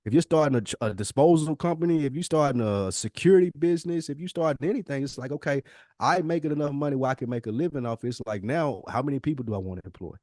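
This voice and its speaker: A man's voice: mid-range voice, fast, dark tone, dry recording, clean studio sound. A man co-hosting a podcast, giving conversational advice in informal, emphatic speech with frequent repetition. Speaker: podcast co-host.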